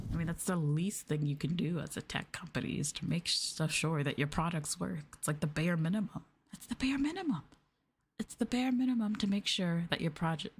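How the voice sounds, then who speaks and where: A woman streamer chatting casually in a soft, hushed ASMR style, rambling gently and sometimes drifting into whispers. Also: on the low side, husky. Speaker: streamer and VTuber host. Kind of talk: soft-spoken ASMR casual chat to live viewers.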